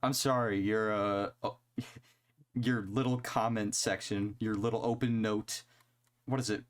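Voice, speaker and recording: A young man with a fairly low voice, chatting on a podcast. His talk is loose and casual, with free swearing and easy laughter. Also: fairly even intonation, measured pace. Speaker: podcast co-host. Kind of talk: casual chat.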